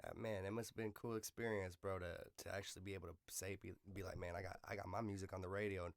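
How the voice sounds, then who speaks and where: A man's voice: deep voice, level tone, rapid-fire, gravelly, no room sound, studio quality. A man hosting a podcast in casual, slangy urban speech, enthusiastic and affirming, repeating phrases for emphasis. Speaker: podcast host. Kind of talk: casual interview chat.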